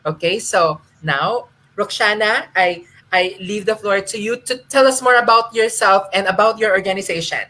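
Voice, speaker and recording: A woman's voice: medium pitch, moderate pace, few pauses, pushed and bright, rough recording. A woman moderating a live online talk, earnest and careful, with many small fillers and restarts.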